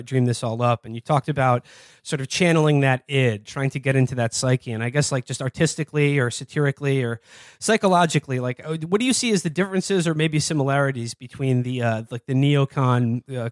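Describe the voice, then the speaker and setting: Male American interviewer, average pitch, dry sound, casual, stammering podcast host. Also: dry recording. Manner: stammering, repeats words while forming questions.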